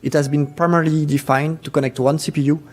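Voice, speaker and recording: A man with a French accent giving a technical conference presentation, halting, with many fillers and restarts. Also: a little high, level tone. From France. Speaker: conference speaker.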